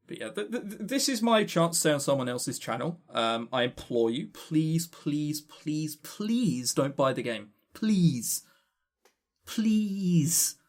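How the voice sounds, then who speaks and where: A man's voice: fairly high pitch, sing-song, takes it slowly. A man with a southern English accent chatting casually as a podcast co-host, blunt and emphatic, given to swearing. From Brighton, England. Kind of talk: casual opinionated podcast chat.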